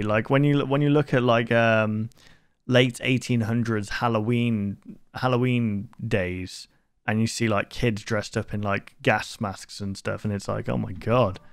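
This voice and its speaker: A man's voice: mid-range voice, no echo, studio quality. A man giving casual, informal reaction commentary, thinking aloud with some mild swearing. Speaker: reaction video host. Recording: loud music behind the voice.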